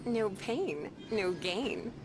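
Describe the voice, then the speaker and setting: Slow, flirty, seductive game woman. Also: mid-range voice, very animated, over music, poor audio. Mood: flirty, teasing. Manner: flirty, provocative. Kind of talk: video game voice acting.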